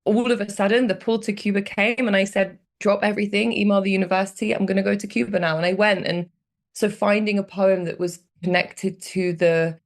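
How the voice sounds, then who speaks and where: A young woman with a British accent, reflective and animated as she tells stories in a podcast conversation. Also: medium pitch, brisk. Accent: British English. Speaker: guest.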